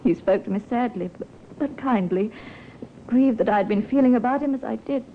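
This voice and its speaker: High-voiced young widow on tinny old radio played by an actress. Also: female voice, moderate pace, close to an everyday voice. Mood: distressed, confessing. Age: young adult. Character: young widow, cool, composed, secretly scheming. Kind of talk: 1950s radio drama acting. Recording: tinny, hissy 1950s radio recording.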